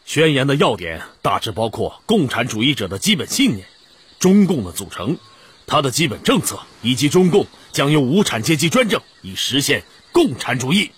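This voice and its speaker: A man's voice: medium pitch, sing-song, moderate pace, breathy edge. An actor playing a self-assured, insistent male communist delegate, formal and oratorical, in a historical radio drama. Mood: confident.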